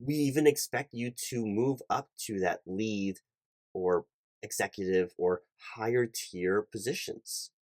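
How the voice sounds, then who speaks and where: Low-voiced man as an earnest corporate recruiter. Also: darkish tone, no echo. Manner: halting, stammering corporate earnestness. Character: earnest, slightly flustered corporate recruiting manager. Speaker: ASMR roleplayer as a recruiting manager. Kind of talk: one-sided job interview roleplay, semi-scripted ASMR.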